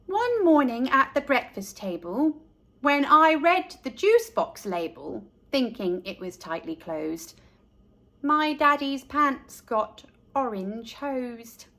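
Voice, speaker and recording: Female storytime reader, high and bright, animated delivery for a young audience. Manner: deliberate, animated storyteller addressing children. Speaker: children's storytime narrator. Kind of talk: expressive picture-book read-aloud for young children.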